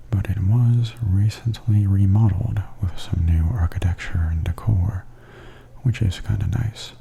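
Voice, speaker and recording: A man giving softly spoken ASMR tour commentary in a hushed, breathy half-whisper. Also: sits low. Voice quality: soft, breathy. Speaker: host of ASMR walking tour videos.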